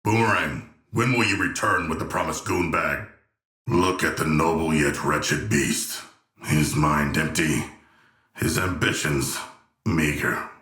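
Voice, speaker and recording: An actor voicing a hulking humanoid shark villain in a video game, erudite and gentle yet proud, speaking in formal, measured, deadpan phrases. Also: male voice, a bass voice, echoey room, full character voice. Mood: dry, aloof. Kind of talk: video game character voice lines.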